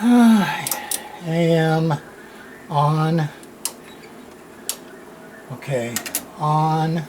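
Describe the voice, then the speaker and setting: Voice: medium pitch, animated, drawn-out words, pauses often, a little mellow. A person giving casual, hands-on unboxing commentary as a repair video host, muttering to themselves in short, fragmented remarks. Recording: noisy room with clear background noise.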